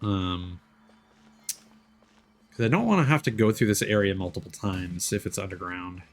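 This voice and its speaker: A man's voice: sits low, animated, moderate pace. A man chatting casually as he commentates on a game for a livestream. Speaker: streamer.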